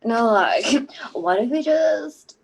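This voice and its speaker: A woman voicing a gentle, anxious, awkward monster in a scripted podcast, with a halting, giggly delivery. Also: a little high, expressive, a little hiss or hum, poor audio. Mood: hesitant. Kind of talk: scripted podcast character reading.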